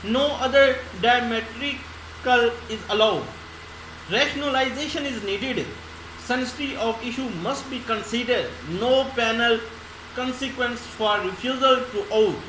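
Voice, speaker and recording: A woman with a Pakistani accent giving a law lecture, reading notes aloud deliberately and explaining them by restating. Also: a little high. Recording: roomy echo, loud background noise.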